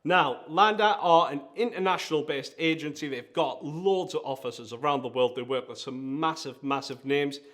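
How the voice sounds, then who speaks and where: A man's voice: on the high side, normal speed, few pauses, a bit bright. A man with a Geordie accent hosting a countdown-style video, talking in an enthusiastic, emphatic way. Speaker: video host, design agency founder. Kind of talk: presenter-style vlog countdown.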